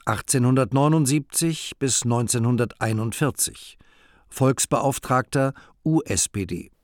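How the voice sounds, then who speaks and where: A man's voice: mid-range voice, unhurried, no echo, studio quality. A man narrating a radio play in a factual, clipped, documentary announcing style. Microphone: close dry studio mic.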